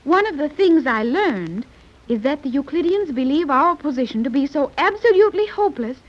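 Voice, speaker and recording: A proper, self-assured young woman in a 1930s radio adventure. Her voice is high and very animated, with formal, exact phrasing, on a dull vintage recording. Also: a little fast. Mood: formal explaining. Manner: formal, precise diction, few contractions. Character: precise, self-assured, proper young daughter among castaways. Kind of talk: 1930s radio serial acting.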